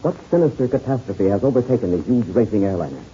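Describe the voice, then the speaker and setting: Dark-voiced, dramatic male serial announcer. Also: lowish voice, expressive, quick pace. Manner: dramatic, portentous. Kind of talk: 1930s radio serial narration. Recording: muffled old radio recording, faint noise.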